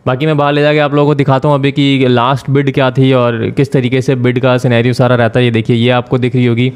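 A man talking through a screen-share tutorial as the channel host, explaining step by step with frequent filler pauses. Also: mid-range voice, flat delivery, smooth.

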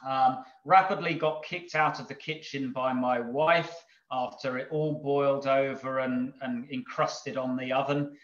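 A man's voice: medium pitch, fairly even intonation, measured pace, a bit bright. Chuckling man on a video call. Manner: rambling, chuckling, many ums and restarts. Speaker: brewery owner. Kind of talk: casual webinar talk. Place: home room on a video call. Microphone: video call microphone. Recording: echoey room, dull video call audio.

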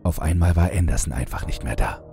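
A man's voice: low-pitched, quick pace, mellow, faint music behind. A man reading scripted first-person horror fiction as an audiobook narrator.

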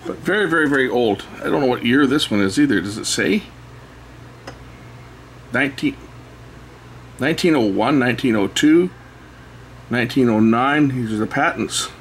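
A man's voice: medium pitch, moderate pace, pauses often, a little hiss or hum. A man giving casual hands-on commentary as a host, rambling and thinking aloud as he goes. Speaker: host showing old records. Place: indoors at a cluttered electronics workbench.

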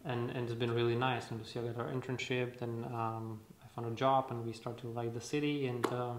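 A man's voice: medium pitch, flat delivery, fairly quick, background noise. A young man from the Republic, speaking hesitantly with dry jokes beside his wife. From Czech Republic. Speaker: husband guest. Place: living room sofa, indoors. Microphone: camera a few feet away. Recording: roomy living room echo.

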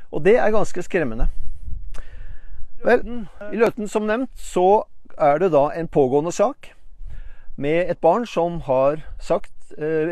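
Older guy w a talking on a lapel mic up on a mountain, earnest, lots of pointed questions, bit high voice, dry sound. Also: a little mellow, no room sound. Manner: earnest, questioning, with hesitant fillers. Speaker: channel host. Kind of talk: reading a letter, then commentary to camera. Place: outdoors on a rocky mountaintop.

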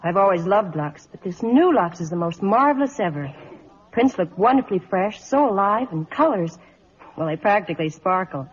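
A woman's voice: mid-range voice, animated, normal speed, breathy edge. A woman, an actress giving a scripted 1950s radio interview and product endorsement. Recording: muffled, reverberant vintage radio broadcast.

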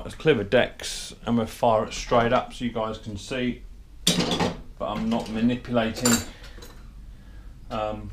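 A man, casually talking through a chainsaw brake repair in his workshop, chatty and a bit self-deprecating. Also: fairly low pitch. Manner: chatty, self-deprecating, thinks aloud while working. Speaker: small engine repair video host. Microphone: head-mounted camera. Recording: clear background noise and tool clicks.

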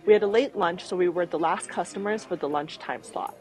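A woman's voice: lowish voice, fairly quick, darkish tone, low-quality recording. A woman narrating a travel vlog voice-over in an informative, upbeat tour-guide manner. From Taiwan. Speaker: travel vlogger. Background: faint background music.

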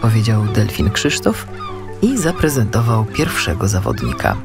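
A man narrating a children's story as an audiobook, with expressive scripted delivery. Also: on the low side, moderate pace, vocal fry, music in the background.

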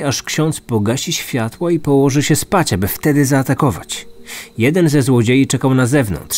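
A man hosts a true-crime story in scripted narration. His voice sits at a middle pitch, with music playing behind it. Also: darkish tone. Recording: music under the voice. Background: background music.

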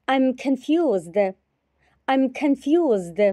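A woman teacher repeating short phrases slowly and carefully in a repetition drill. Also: sits high, very animated, dark tone, no echo, clean studio sound. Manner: repeats each phrase three times, carefully.

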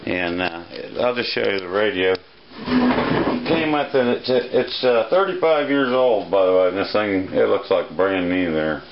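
A middle-aged man talking casually and haltingly in a plainspoken way, as if showing a gadget on a home video. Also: lowish voice, big pitch swings. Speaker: amateur vlogger, radio hobbyist. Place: small indoor room, kitchen-like. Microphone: handheld camera at arm's length. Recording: dull lo-fi camera audio, clear noise.

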